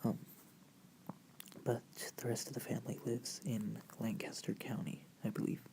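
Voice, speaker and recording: A narrator from the United States whispering softly and breathily through an informal, rambling slideshow in ASMR style. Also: medium pitch, steady tone, moderate pace, vocal fry. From United States, family from Virginia. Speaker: video creator and host.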